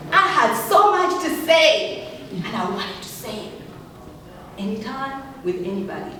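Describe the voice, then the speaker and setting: Earnest woman speaker, emphatic storytelling, heard from far in a booming hall. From Uganda. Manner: earnest, emphatic, rising passionately on key words. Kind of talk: personal story speech.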